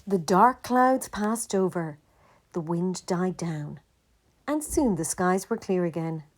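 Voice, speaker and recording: Slow, lowish-voiced woman reading stories. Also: expressive. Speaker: storyteller reading a picture book aloud. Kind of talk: children's story narration.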